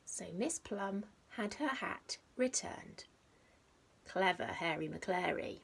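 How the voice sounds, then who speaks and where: A woman reading a picture book aloud to young children like a teacher, expressively, with dramatic pauses and stressed rhymes and sound words. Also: mid-range voice, animated, vocal fry, fairly bright tone, breathy edge. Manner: simple explanatory asides addressed to young children. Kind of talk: animated storybook read-aloud.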